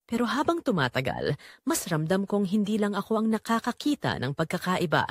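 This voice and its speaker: Expressive Filipino woman acting a guilt-ridden mother. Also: mid-range voice, a little fast, no echo, clean studio sound. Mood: uneasy, guilty. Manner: earnest, emotional, reflective. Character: guilt-ridden Filipino mother confessing a painful family secret. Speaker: first-person narrator of an audio drama. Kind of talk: dramatic confessional storytelling.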